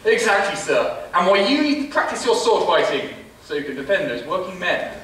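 A man's voice: sits high, big pitch swings, a little fast, a bit bright. A young man playing an exasperated servant tutor in a stage pantomime, deferential yet scolding and theatrical. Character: exasperated male servant tutor to a childish prince. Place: theatre stage, filmed from far camera. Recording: roomy theatre recording from far off, music.

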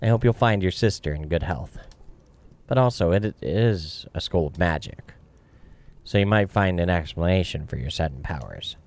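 A man voicing a low, solemn ghostly captain. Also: lively intonation, a little mellow. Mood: courteous, solemn. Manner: formal, measured. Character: courteous, solemn ghostly captain guarding dark woods. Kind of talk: voiced game dialogue. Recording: music behind.

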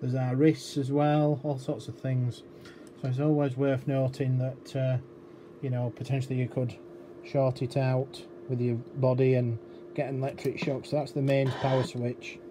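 A man's voice: medium pitch, little pitch movement, normal speed. A man giving an informal technical walkthrough as a repair demonstrator, explaining hesitantly and thinking aloud. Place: indoor electronics workbench. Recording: clearly noisy workshop room recording.

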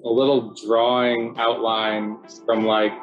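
A man's voice: mid-range voice, takes it slowly, highs cut off, soft background music. Laid-back man explaining cave life. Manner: relaxed, chatty, many fillers like "so yeah" and "um". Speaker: city cave biologist presenting. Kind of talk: casual narrated slideshow talk. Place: indoors at home, video call.

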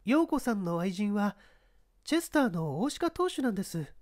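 A woman's voice: medium pitch, sing-song, normal speed, a little mellow, dry recording, studio quality, close to an everyday voice. A woman playing a young actress who speaks politely and earnestly, pleading, in a dramatised audiobook.

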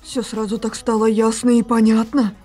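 A woman plays a bewildered young male hero in a homemade visual novel dub. Her voice is breathy and a bit high, and she delivers lines theatrically. Faint music plays behind. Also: flat delivery, normal speed, mellow, close to an everyday voice. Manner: theatrical, exclaiming.

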